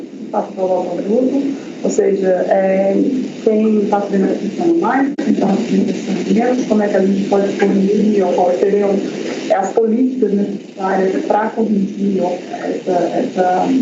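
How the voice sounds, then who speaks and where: High-voiced man presenting in an echoey hall. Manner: hesitant, many fillers and restarts. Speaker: researcher presenting a project. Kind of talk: seminar presentation. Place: university lecture room. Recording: echoey lecture hall, dull lo-fi sound, audible noise.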